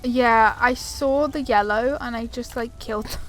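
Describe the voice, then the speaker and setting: A woman commenting casually as she plays a game. Her voice is high, and she chats in a rambling, apologetic way or reads dialogue aloud. There is faint noise behind her. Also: steady tone, measured pace, a bit bright, low-quality recording. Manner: chatty, apologetic, tangential. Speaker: gaming streamer.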